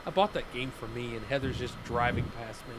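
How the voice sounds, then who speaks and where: A man hosting a livestream, chatting casually and excitedly, often restarting his sentences. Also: medium pitch, fairly quick, very noisy. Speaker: livestream host. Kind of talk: casual gaming chat.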